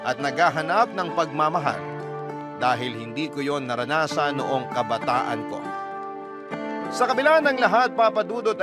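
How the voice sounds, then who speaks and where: A man with a Filipino accent reading a confessional story in radio style, with sentimental, deliberate phrasing. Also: a little high. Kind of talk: radio-style scripted first-person narration of a confession letter. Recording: loud background music. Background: music.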